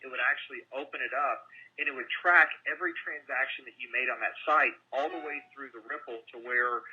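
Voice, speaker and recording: A man with an intensely sharp, forceful voice and a raspy edge pitches on a conference call. He is emphatic and salesman-like. The audio is muffled, as from a telephone line. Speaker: company promoter.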